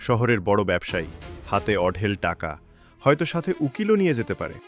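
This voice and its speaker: পুরুষের কণ্ঠে ধূর্ত, লোভী ছোট ছেলে, ওঠানামা করা স্বর. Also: male voice, fairly low pitch, big pitch swings, brisk. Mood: urgent. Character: sly, greedy, opportunistic younger son. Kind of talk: melodramatic cartoon dubbing. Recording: music behind the voice.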